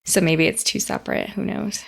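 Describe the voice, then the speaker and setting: A woman's voice: mid-range voice, steady tone, moderate pace, vocal fry. A woman co-hosting a podcast, chatting casually about tech and acting out her reactions as she tells stories. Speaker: podcast co-host.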